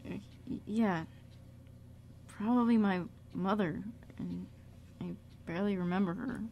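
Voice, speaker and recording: A woman's voice: mid-range voice, measured pace, lo-fi sound, close to an everyday voice. A hesitant, anxious man in an amateur radio play, acted by a woman. He is tender and stammers through what he says. A little noise sits behind the voice. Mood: awkward. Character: anxious, guilt-ridden, tender male friend. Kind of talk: amateur radio drama acting.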